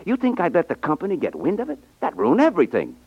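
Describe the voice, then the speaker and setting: A man's voice: high voice, wildly swooping pitch, fast talker, creaky, slightly rough, no room sound, full character voice. A man acting in a 1950s radio drama as a brash, pushy, slangy salesman feigning honesty. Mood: excited scheming. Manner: slangy, insistent. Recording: muffled 1950s radio recording.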